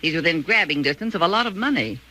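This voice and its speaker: Protective housekeeper, blunt, wry, expressive pitch, bright tone, faintly noisy 1940s radio sound. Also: female voice, quick pace. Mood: skeptical. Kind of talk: 1940s radio thriller acting.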